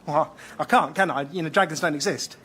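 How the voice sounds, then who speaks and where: An actor playing an earnest, devoted boyfriend who is easily exasperated, in radio sketch comedy. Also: male voice, on the high side, a little fast, fairly bright tone, a little hiss or hum, lightly acted. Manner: earnest, incredulous.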